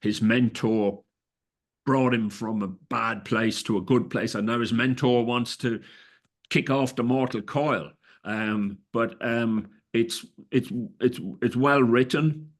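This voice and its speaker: A man's voice: mid-range voice, steady tone, slow. A middle-aged Irish man, a festival director, answering interview questions in a hesitant way, with many fillers and restarts. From Ireland.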